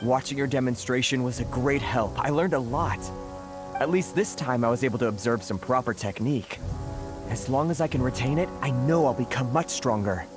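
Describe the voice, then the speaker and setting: A man's voice: medium pitch, a little fast, music in the background, lightly acted. A man playing a humble civilian turned resistance recruit in a video game, speaking sincerely and reflectively. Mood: earnest, thoughtful. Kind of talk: scripted video game character acting.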